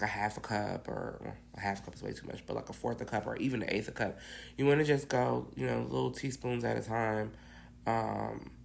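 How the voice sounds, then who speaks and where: A woman with a raspy, hoarse voice from bronchitis, casually rambling through a cookie-icing tutorial with many ums. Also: a bass voice, a little hiss or hum. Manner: rambling, lots of ums and restarts. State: hoarse, getting over bronchitis. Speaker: cookie decorator.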